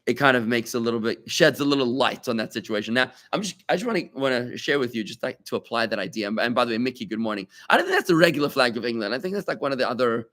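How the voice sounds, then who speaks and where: A man teaching a live Talmud class as a rabbi, animated and conversational, with rhetorical questions and asides to his students. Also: medium pitch, very fast, hardly any pauses.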